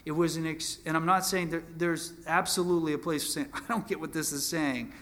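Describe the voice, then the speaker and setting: A man's voice: a little high, fairly even intonation, brisk, a bit bright. A man in his twenties teaching from the Bible in an informal sermon, conversational and self-deprecating, often restarting his phrases. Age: 20s. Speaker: preacher.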